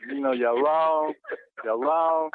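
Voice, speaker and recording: A man's voice: a little high, takes it slowly, clean tone, no room sound. Indignant man on a tinny phone line. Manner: indignant, emphatic, repeats phrases. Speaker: church member receiving a prank call. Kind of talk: heated phone argument.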